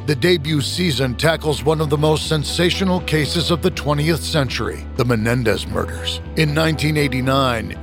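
A man narrates a scripted true-crime podcast promo. His voice sits a little high for a man, and music plays clearly behind him. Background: music bed.

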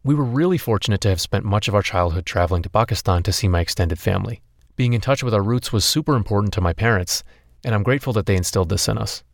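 A young man with a lowish voice narrates his own story in a podcast. The sound is dry and close. His telling is candid and personal. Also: fast talker, creaky, no echo. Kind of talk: scripted narration and interviewing.

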